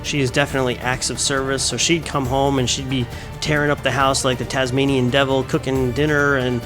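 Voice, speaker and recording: A man's voice: mid-range voice, little pitch movement, brisk, music in the background. A man hosting a radio interview, enthusiastic and chatty, adding personal asides to his questions. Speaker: radio show host. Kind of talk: interview host, conversational.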